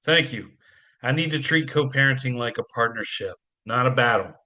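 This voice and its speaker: Man playing a wry, stressed dad, bright-voiced. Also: medium pitch, normal speed, lightly acted. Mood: earnest, grateful. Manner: self-deprecating, wry, earnest. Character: stressed divorcing father, wry and anxious. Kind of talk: community theatre audio play acting.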